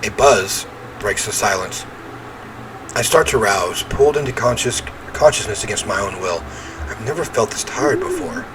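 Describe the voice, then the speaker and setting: A man's voice: lowish voice, wildly swooping pitch, normal speed. Theatrical man narrating over noise. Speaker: game player reading a visual novel aloud. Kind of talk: dramatic read-aloud narration with offhand reactions.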